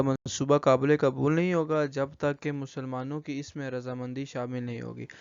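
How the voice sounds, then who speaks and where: Young man, Pakistani accent, student presenter, monotone, smooth tone, halting with repeated words, dull lo-fi recording. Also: medium pitch, no room sound. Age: young adult. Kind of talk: student presentation, semi-scripted lecture.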